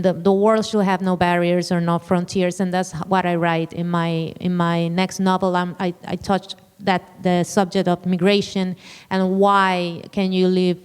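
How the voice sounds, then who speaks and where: A woman speaks earnestly and emphatically. Her voice is smooth and clear and echoes through a long hall reverb. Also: mid-range voice, a little mellow. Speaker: panelist author. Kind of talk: panel discussion. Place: lecture hall.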